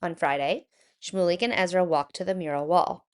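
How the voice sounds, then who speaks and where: A woman narrating a children's picture book aloud in an expressive, animated storytelling manner. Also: fairly low pitch, moderate pace, clear, resonant tone.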